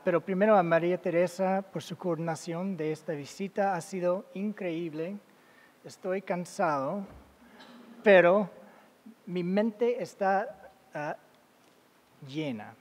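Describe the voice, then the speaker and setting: A man with as his giving an academic talk as a guest lecturer, halting, with many fillers and self-corrections. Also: a little high. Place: lecture hall. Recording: long reverb of a large hall.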